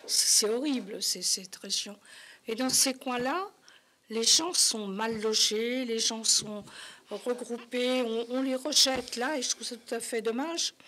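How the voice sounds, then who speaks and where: An older woman with a slightly high-pitched voice talks as a guest on a talk show. She recounts personal memories in a plain-spoken, conversational way, in short phrases. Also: a little slow, sharp tone.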